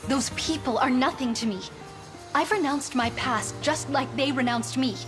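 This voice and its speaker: An actress plays a proper young noblewoman from a fantasy game, high-voiced and deeply earnest. She speaks in formal, high-flown phrases, with music clearly audible underneath. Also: moderate pace, lightly acted. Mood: ashamed, bitter. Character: earnest, proper young noblewoman, aspiring knight turned bandit. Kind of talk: scripted video game voice acting.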